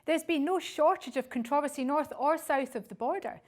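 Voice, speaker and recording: A woman's voice: high-pitched, normal speed, darkish tone. A woman presenter reading a scripted introduction for a political programme.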